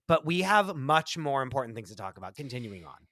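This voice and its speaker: A man co-hosting a comedy podcast, talking in an animated, dramatic way with lots of fillers and quick asides. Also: medium pitch. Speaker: podcast co-host. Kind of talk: casual comedic chat.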